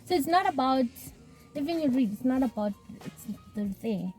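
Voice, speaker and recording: A soft-spoken woman from South Africa chats casually, often restarting her sentences. Her voice is slightly high and mellow. Faint music plays behind her. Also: lively intonation, normal speed, poor audio. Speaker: author guest. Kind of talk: casual chat.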